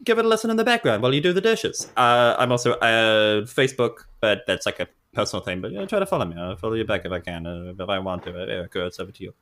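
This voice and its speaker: A man's voice: on the low side, expressive, fast, few pauses, a bit bright. A man chatting casually as a podcast player, jokey and self-deprecating. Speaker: podcast player and guest speaker. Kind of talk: casual chat.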